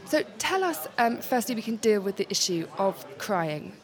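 A woman's voice: medium pitch, very animated, normal speed, faint music behind. A woman co-hosting a radio show, reading intros and asking long questions full of "sort of" and "um". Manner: scripted intros, rambling hedged questions. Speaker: radio host. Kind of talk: radio presenting and interviewing.

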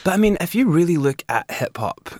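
Animated emphatic man, musician guest. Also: high voice, a little fast. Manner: animated, emphatic, restarts often. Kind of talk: interview conversation.

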